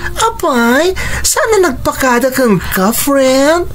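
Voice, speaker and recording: A woman's voice: sits high, big pitch swings, takes it slowly, music in the background, full character voice. A woman playing a blunt, gossipy best friend in a radio drama, exclaiming dramatically in an Ilocano accent. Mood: gossipy, concerned.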